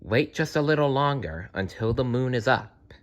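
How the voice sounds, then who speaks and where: A man's voice: mid-range voice, animated, moderate pace, lightly acted. A man voicing an earnest, innocent young boy in a storybook read-aloud. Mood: reassuring.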